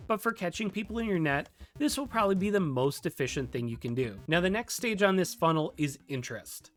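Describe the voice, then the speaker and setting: A video host presenting a scripted tutorial in a direct, conversational manner, with casual asides. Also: medium pitch. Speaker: video host and game developer giving a tutorial. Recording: music behind the voice. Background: background music.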